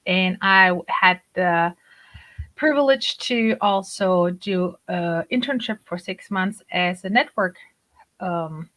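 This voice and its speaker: A woman's voice: lowish voice, slow pace, fairly bright tone. A woman in her forties, raised in Germany with Turkish as her first language, chatting as a podcast guest and laughing often. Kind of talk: conversational interview.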